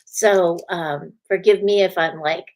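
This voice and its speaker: A woman's voice: on the low side, steady tone, unhurried, darkish tone. A woman hosting a spiritual show in a casual chat, greeting viewers by name and laughing often, her voice a little scratchy tonight. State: slightly scratchy voice. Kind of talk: casual live-stream chat.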